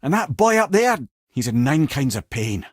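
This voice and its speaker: An old Scottish sage who spins myths with wry wit and eloquence. His pitch is mid-range but swings widely and expressively. The sound is dry and close, like a studio game recording. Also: big pitch swings, moderate pace, no room sound. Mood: earnest. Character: witty old severed talking head, worldly storyteller sage. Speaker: companion and storyteller. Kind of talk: video game voice acting, storytelling.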